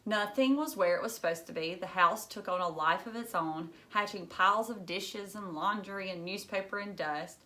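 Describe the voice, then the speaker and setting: Woman teacher doing a kids' book read-aloud, bright voice, expressive storytelling. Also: medium pitch, normal speed, hardly any pauses.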